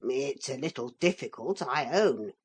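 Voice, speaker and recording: A woman's voice: extremely low pitch, lively intonation, a little slow, husky, voice pushed down, full character voice. A woman reading a storybook, voicing a small wooden figure come to life who explains things patiently and plainly. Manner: patient, matter-of-fact explaining. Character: calm, wise little wooden man come alive.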